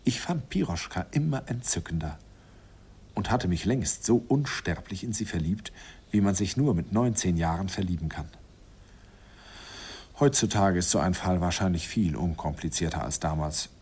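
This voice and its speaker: An actor playing a nostalgic older man who recalls his shy first love in an unhurried, wistful radio play narration. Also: low-pitched, expressive, a little mellow, husky, heavily acted. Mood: wistful, reminiscing. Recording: vintage radio recording with music behind.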